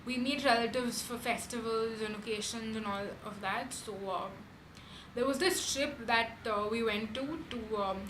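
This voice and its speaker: A woman's voice: a little high, moderate pace. Chatty young Indian woman, echoey room. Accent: Indian English. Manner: chatty, frequent "um" and "like". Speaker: beauty vlogger. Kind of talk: casual chat answering tag questions. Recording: echoey room with background noise.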